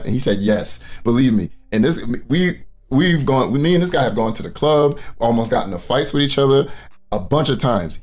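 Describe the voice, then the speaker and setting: A man doing casual, profane reaction commentary, blunt and mocking, often repeating himself for emphasis. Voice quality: deep voice. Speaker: commentary YouTuber reacting to clips.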